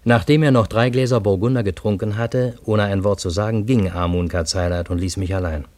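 An actor as a wry first-person storyteller, narrating a radio drama in a measured, matter-of-fact way. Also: male voice, on the low side, steady tone, a little mellow, no room sound, close to an everyday voice. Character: wry male first-person storyteller recounting strange events.

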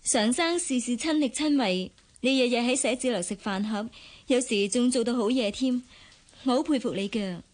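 An actress playing a modest, guarded young office worker in a radio drama, polite and demure, with a Hong Kong Cantonese accent. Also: female voice, on the high side, moderate pace, natural voice. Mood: earnest, deferential.

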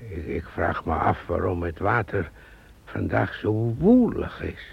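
An actor playing a brooding pond keeper who muses and stammers in a radio play. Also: male voice, low voice, sing-song, a little slow, exaggerated voice. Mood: musing. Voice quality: gravelly, raspy. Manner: musing, stammering. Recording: dull lo-fi recording.

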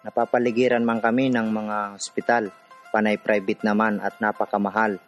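A man's voice: on the low side, little pitch movement, normal speed, soft background music, close to an everyday voice. An actor playing a poor man from a city slum, narrating his own hard life in a scripted radio drama. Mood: somber, reflective.

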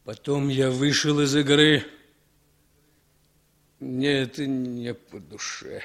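A man's voice: medium pitch, steady tone, slow, a bit bright, a little hiss or hum. An actor playing a wary, reluctant man with a terse, subdued manner in a 1960s radio play. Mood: reluctant, troubled. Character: wary, weary émigré man, guarded and reluctant.

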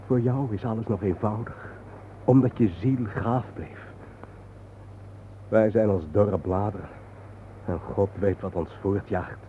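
A man's voice: fairly low pitch, unhurried, pauses often, lightly acted. An actor playing an intense, soul-searching man, earnest and declamatory in a poetic radio drama. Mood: reverent, sombre. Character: intense, soul-searching man lost among the war dead. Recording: muffled, noisy old radio play recording.

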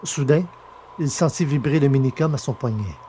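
A man's voice: mid-range voice, mellow, slight background noise. Man narrating a story. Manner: measured, descriptive. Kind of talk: scripted narration.

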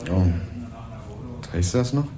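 An actor playing a young student who narrates in a dry, wry tone and questions people persistently. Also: male voice, medium pitch, expressive, unhurried, crowd noise, close to an everyday voice. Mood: probing. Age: young adult. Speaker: first-person narrator and student. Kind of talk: scripted crime radio play narration.

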